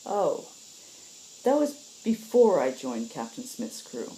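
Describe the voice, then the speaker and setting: A woman voicing an exasperated, gruff female space soldier in sci-fi audiobook dialogue, with a sardonic, clipped manner. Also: deep voice, big pitch swings, slow pace, dark tone, a little hiss or hum, exaggerated voice, rough recording.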